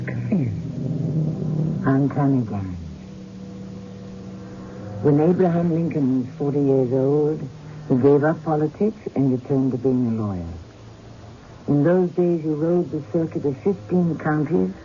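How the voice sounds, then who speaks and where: A man's voice: a little high, unhurried, pauses often. A man giving scripted, theatrical narration as the host of a radio drama. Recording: dull, noisy old radio broadcast.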